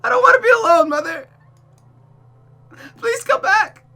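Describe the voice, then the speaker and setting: A shrill, unhinged young woman killer. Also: lively intonation, a little slow, bright, forceful tone, heavily acted. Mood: sobbing, grieving. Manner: shrill, pleading, tearful, dramatic. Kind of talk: visual novel voice acting.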